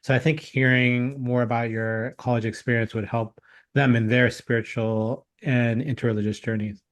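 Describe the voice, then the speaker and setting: A man hosting an interview, asking polite, measured questions. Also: mid-range voice, little pitch movement. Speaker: interview host. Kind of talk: podcast interview.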